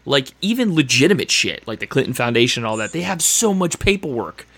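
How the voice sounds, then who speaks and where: A man's voice: mid-range voice, sing-song, brisk, vocal fry, a bit bright, husky. A man co-hosting a casual podcast, blunt and profane, with irritated rants. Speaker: podcast co-host.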